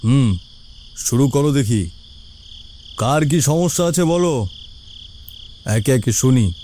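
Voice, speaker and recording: A man voicing a stern, self-righteous village headman in a cartoon, commanding and condescending, with drawn-out hums. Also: medium pitch, expressive, dark tone, slight background noise. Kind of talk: cartoon voice acting.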